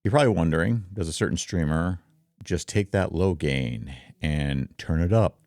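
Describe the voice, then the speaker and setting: A man with a very deep, rumbling voice, explaining things casually and conversationally to viewers, close on a studio microphone. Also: moderate pace, darkish tone, no room sound. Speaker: content creator, streamer. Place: home office.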